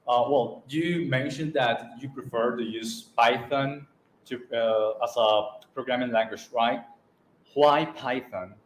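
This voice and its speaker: A man's voice: mid-range voice, slow. Guy moderating q and a, polite, lots of uhs, echoey hall, faint noise. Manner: hesitant, many fillers, polite. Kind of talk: hosting a Q&A session. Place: lecture hall with audience.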